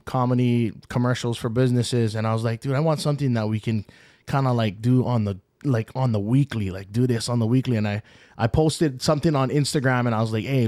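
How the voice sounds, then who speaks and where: A man's voice: medium pitch, a little mellow, dry recording, studio quality. A man, hosting a casual podcast in a hyped, joking, slangy way. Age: 30s. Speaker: podcast host.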